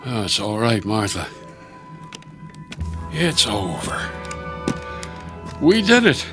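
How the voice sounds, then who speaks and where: A man's voice: fairly low pitch, huge pitch swings, takes it slowly, over music, full character voice. A man acting as a blind, protective farmer, a folksy creature in a suspense radio drama. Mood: tender, relieved. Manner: folksy, rustic, plainspoken. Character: blind, protective male alien farmer, devoted husband.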